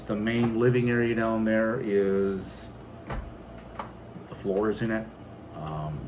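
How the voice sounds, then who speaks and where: A man's voice: mid-range voice, background noise. A man with an American accent chatting casually on a homestead vlog in a plainspoken, folksy way. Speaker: homesteading video host.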